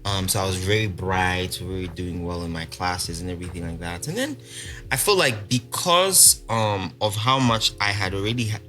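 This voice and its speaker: A man's voice: low-pitched, moderate pace, soft background music. A young man from Congo with a South African accent, a podcast guest telling his life story in a loose, laughing way full of fillers. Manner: animated, laughing, many fillers. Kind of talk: casual storytelling interview.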